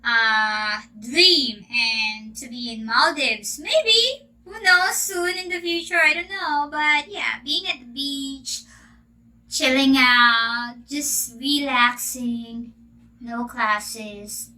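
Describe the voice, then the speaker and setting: A woman's voice: sits high, drawn-out words, sharp tone, low-quality recording. A Filipino woman, an English teacher who grew up speaking Filipino, chatting casually in a Q&A vlog, asking questions and stretching her words. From Philippines. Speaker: English teacher and channel host.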